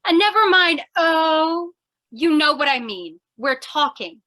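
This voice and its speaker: A woman's voice: sits high, animated, takes it slowly, background noise. A woman playing a feisty, impatient young would-be reporter in an old-time radio comedy, insistent and theatrical. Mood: bossy, exasperated. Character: feisty, pushy young female aspiring reporter, impatient fiancée.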